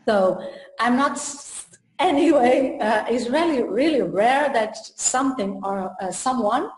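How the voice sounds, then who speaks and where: Woman, from Portugal, unhurried, halting speech, roomy echo. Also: mid-range voice, a little mellow. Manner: slow and hesitant, many fillers and pauses. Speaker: trainer and coach. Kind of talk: casual interview talk. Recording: echoey room.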